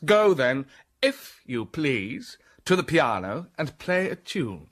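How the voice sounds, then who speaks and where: An actor playing an imperious gentleman in a radio drama, questioning curtly. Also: a little high, sing-song, takes it slowly, full character voice. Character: brusque, commanding gentleman of the manor.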